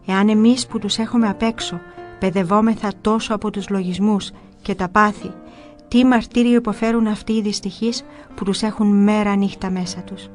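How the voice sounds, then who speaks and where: A woman voicing a gentle monastery elder, compassionate and sorrowful, giving calm, grave admonitions in a story. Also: mid-range voice, faint music behind, lightly acted. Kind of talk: storytelling quotation.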